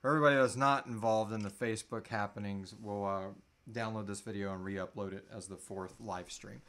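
A man's voice: on the low side, steady tone, a little fast, a bit bright. A man in his thirties hosting a casual live Q&A in an informal, easygoing and sweary way. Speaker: podcast host. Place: small indoor room at home.

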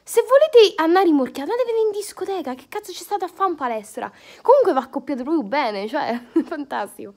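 A young woman with a high voice rants to her phone from bed. She is sarcastic and exasperated, and she peppers her speech with crude slang and fillers. The close phone mic makes it sound like a casual home vlog. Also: expressive, a little fast, hardly any pauses, a little mellow. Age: young adult. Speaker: vlogger. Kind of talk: casual comedic rant to camera. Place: indoors, bedroom, lying on bed. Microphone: phone camera at arm's length.

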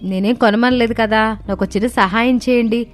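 A woman voicing a resourceful young daughter-in-law in a cartoon story, explaining things calmly and patiently. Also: fairly high pitch, moderate pace, soft background music, natural voice.

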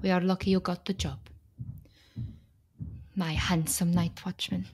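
An actress playing a firm, protective Slovak immigrant wife in a radio play, speaking with a Slovak accent in a measured, pleading, emphatic way. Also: female voice, fairly low pitch, little pitch movement, darkish tone, husky. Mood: soft. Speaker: actor.

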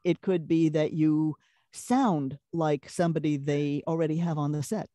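Woman, Brooklyn accent, deep voice, warm mellow tone, dry close sound, chatty storyteller. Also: normal speed, no room sound. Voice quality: deeper voice. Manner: animated storyteller, laughs often. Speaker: voice actress, guest. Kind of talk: casual interview chat.

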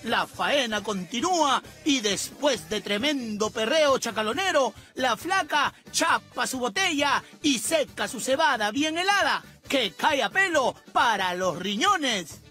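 Peruvian lady doing snarky gossip voice-over, high voice, reggaeton loud behind her. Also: lively intonation, measured pace, few pauses. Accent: Peruvian Spanish. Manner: sarcastic, teasing, playful. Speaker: gossip show narrator. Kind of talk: mocking scripted voice-over narration. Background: loud reggaeton music.

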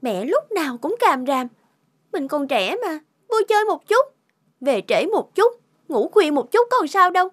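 A woman's voice: high-pitched, big pitch swings, unhurried, dry recording, studio quality. A woman voicing a spoiled, carefree young party girl in a cartoon, whiny, dramatic and easily flustered. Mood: grumbling. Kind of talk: dubbed cartoon acting. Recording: music behind the voice.

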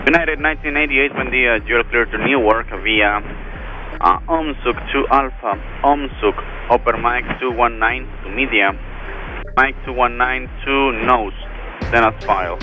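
A mid-pitched male controller reads out brisk clearances in set phrases. He is heard through muffled air traffic radio, with music behind. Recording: dull aviation radio, music over it.

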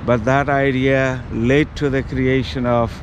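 A man in his fifties talks slowly and haltingly, full of ums and uhs, as he walks city streets narrating a travel vlog. His voice is picked up by a handheld action camera at arm's length, with clear street and traffic noise all around him. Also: mid-range voice, level tone, lo-fi sound. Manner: slow, hesitant, many ums and uhs. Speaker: travel vlogger. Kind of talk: walking-tour vlog narration. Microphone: action camera held at arm's length.

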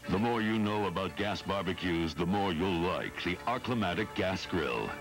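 A man's voice: low voice, expressive, normal speed, poor audio. A man reading a scripted 1980s television commercial voice-over as the announcer. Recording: 1980s television ad under music.

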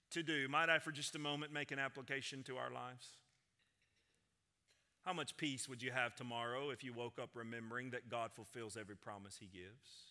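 A man in his forties preaches from a large church stage on a headset mic, his voice high-pitched and bright. He swings from loud, ringing declarations to quiet, intense stretches. The sanctuary's long reverb trails every phrase. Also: smooth. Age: 40s. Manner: preaching with wide gestures, swinging from loud proclamation to hushed intensity. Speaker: senior pastor. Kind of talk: sermon.

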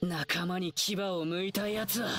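A woman's voice: fairly low pitch, steady tone, a little slow, close to an everyday voice. A woman playing a bold, protective young boy hero in a video game, earnest and boyish. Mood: fierce, threatening. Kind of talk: Japanese video game voice acting.

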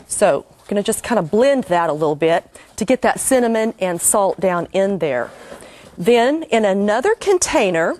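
A woman's voice: fairly low pitch, darkish tone. A middle-aged woman hosting a cooking show, giving homey, enthusiastic step-by-step instructions while she cooks. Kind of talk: cooking demonstration.

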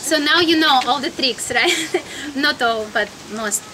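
A woman's voice: sits high, a little slow, heavy background noise. A woman in her thirties from Russia with a Russian accent, giving a chatty, informal travel lecture outdoors and often questioning her listeners. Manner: chatty, laughs often, asks the audience questions. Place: outdoors, sunny courtyard among high-rise buildings. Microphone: camera in front, at a few meters. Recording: outdoor talk with audience chatter behind.

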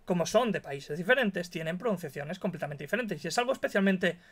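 A young man sets out his view firmly, stacking up one point after another. His voice sits on the high side for a man. He talks into a headset from a dim room at home. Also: expressive, fast, fairly bright tone. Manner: emphatic, opinionated, building his case point by point. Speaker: co-host. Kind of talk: casual streamed chat.

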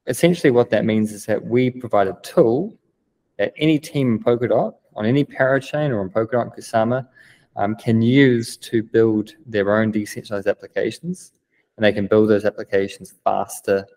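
A man's voice: lowish voice, a little mellow, rough recording. A young man with a New Zealand accent, explaining things eagerly on a remote video call, with many "um" fillers. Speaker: guest, business developer. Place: plain-walled room indoors.

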